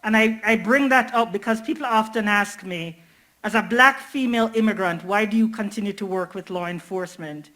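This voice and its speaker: A woman's voice: medium pitch, fairly quick, a bit bright. A woman with a Jamaican accent moderating a panel, asking direct questions.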